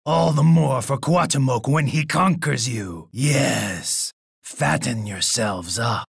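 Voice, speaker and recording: An actor playing a menacing, boastful, bloodthirsty Aztec emperor in a video game, with a gravelly, husky voice and an imperious, taunting manner. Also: male voice, medium pitch, slow, no echo, clean studio sound, full character voice. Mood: scornful gloating. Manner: imperious, taunting, refers to himself in third person. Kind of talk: theatrical video game character voice lines.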